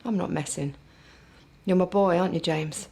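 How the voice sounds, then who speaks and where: An actress playing a loving, unwell mother who speaks softly and hesitantly in a radio drama. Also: lowish voice, normal speed, gravelly, husky. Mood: fragile. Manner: soft, gentle, hesitant. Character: loving mother, fragile, unwell, proud of son.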